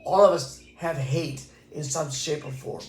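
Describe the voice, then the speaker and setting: A man's voice: a little high, fairly even intonation, a little slow, roomy sound, a little hiss or hum. A man in his sixties from Texas, telling stories from his past in a reflective, earnest interview. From Texas, USA. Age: 60s. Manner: reflective, earnest storytelling with many restarts. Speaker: interviewee, former pachuco. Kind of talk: casual recorded interview.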